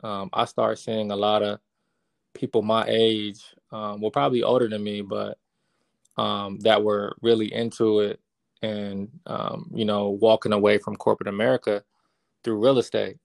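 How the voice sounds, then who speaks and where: A man in his thirties speaks low and gravelly, with a flat, even delivery. He answers interview questions casually, full of fillers and restarts. The recording is dry and close. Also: normal speed, no room sound. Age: 30s. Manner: relaxed, many fillers and restarts. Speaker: guest, real estate investor.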